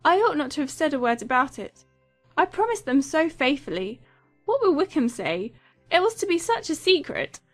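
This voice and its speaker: A woman's voice: high-pitched, sing-song, moderate pace, faint music behind. A woman playing a giddy, chattering young newlywed in a dramatized audiobook, gushing and rambling with thoughtless self-absorption. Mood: flustered. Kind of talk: dramatized audiobook character acting.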